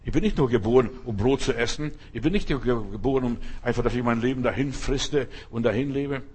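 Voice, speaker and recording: Husky preacher man, insistent refrains. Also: mid-range voice, fast talker, darkish tone. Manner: emphatic, repetitive, addresses listener directly. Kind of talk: sermon.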